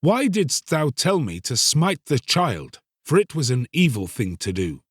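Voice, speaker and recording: A man's voice: mid-range voice, sing-song, unhurried, breathy edge, dry recording, clean studio sound, pitched above the performer's own voice. A man playing an earnest, troubled young fisherman. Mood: reproachful. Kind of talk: dramatised storybook reading.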